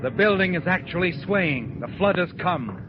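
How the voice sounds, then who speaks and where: An actor playing a sardonic, ominous narrator with a dramatic, insinuating delivery in 1940s radio mystery style. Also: male voice, sits high, lively intonation, moderate pace, noisy background. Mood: tense. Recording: lo-fi 1940s radio recording, music behind.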